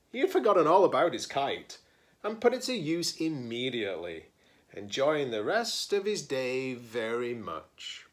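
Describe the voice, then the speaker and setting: Male storybook reader, librarian style: highly varied pitch, slow delivery, dramatic pauses, playful chatty asides for children. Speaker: teacher-librarian reading a picture book aloud. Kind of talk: animated children's read-aloud with chatty asides.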